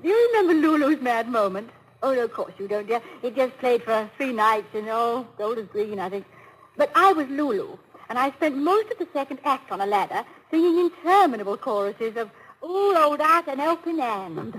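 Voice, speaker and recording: An actress playing an affectionate former London stage star, now a wife, in a 1950s radio comedy-drama, genteel and gently teasing. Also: female voice, a little high, lively intonation, normal speed, close to an everyday voice. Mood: playful, teasing. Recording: noisy vintage 1950s radio broadcast.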